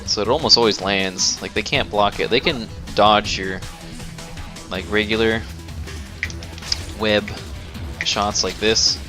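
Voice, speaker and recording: A young man giving casual gameplay commentary in a low-key, halting, unhurried way. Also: steady tone. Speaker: gamer, video host. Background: faint game music.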